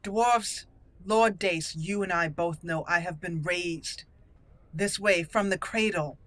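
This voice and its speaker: A woman's voice: lowish voice, slow pace, a bit bright, no room sound. A woman voicing a proud, haughty young noblewoman in a fantasy game roleplay, with formal, lofty phrasing. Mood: proud, stern.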